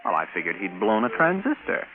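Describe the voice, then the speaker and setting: Brash bachelor space captain, vintage radio sci-fi. Wry, exasperated, lively swings in pitch, tinny sound. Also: male voice, fairly quick, over music. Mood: incredulous. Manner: animated, conversational, wry. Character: brash, exasperated bachelor rocket pilot and scientist. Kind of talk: 1950s radio acting.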